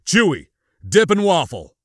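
Guy doing a cocky space smuggler pilot, barking urgent orders, pitched up, sci-fi audiobook. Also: huge pitch swings, a little slow, a bit bright, dry recording, clean studio sound, heavily acted.

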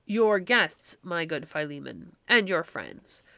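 A woman voicing a mild, solemn elder male traveller in gentle, archaic speech. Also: low-pitched, sing-song, slow pace, creaky, no echo, pitched below the performer's own voice, exaggerated voice. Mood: benevolent.

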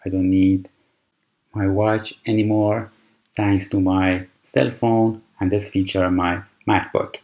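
A man whose first language is Persian, calmly walking through a computer tutorial with fillers and restarts. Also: deep voice. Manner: unhurried, explanatory, with frequent fillers and restarts. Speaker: tutorial presenter. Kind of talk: screen-recorded software tutorial.

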